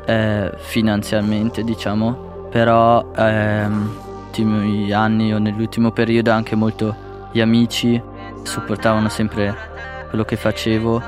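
A man's voice: fairly low pitch, monotone, normal speed, music in the background. A teenage man with a Swiss German accent and Italian as his first language, answering interview questions hesitantly. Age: teens. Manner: hesitant, with fillers and restarts. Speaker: young rapper interviewed.

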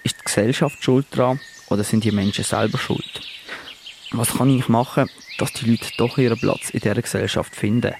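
A man tells a radio reportage in a calm, reflective way, his voice at an average pitch for a man. Birdsong carries clearly behind him outdoors. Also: moderate pace, slight background noise. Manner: reflective, descriptive. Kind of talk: first-person reportage narration. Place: outdoors on a farm.

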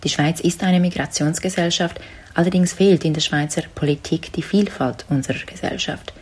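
A woman from Zurich speaks in a low, husky voice. She reads her prepared statement in an earnest, articulate way. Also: steady tone, normal speed, a little mellow. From Zurich, Switzerland. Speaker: community member.

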